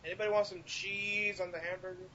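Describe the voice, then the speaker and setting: A man's voice: sits high, flat delivery, fast talker, background noise. A man co-hosting a podcast in casual, joking banter with a mocking, sarcastic manner. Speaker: podcast co-host. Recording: muffled lo-fi voice chat audio.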